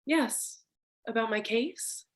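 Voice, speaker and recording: A woman playing a frightened, flirtatious femme fatale wife in a staged noir parody, with drawn-out melodramatic delivery. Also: fairly high pitch. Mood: admiring, flirtatious. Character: frightened, seductive femme fatale wife, breathy damsel in distress.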